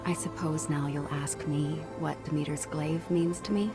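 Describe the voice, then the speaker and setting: An actress playing a weary, dutiful Spartan priestess in a video game, speaking in a measured, reflective way. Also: female voice, deep voice, fairly even intonation, lightly acted. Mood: solemn teaching. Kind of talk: cinematic video game acting. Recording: music behind the voice.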